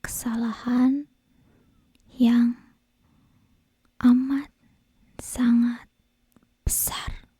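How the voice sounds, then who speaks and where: A woman playing an obsessive, possessive, lovesick kidnapper girl in an ASMR roleplay, whispering breathily and menacingly close to the microphone with lingering pauses. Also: fairly high pitch, little pitch movement, warm tone, slightly rough, no echo, full character voice. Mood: bitter, scornful. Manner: slow, lingering phrases broken by pauses. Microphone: close binaural microphone.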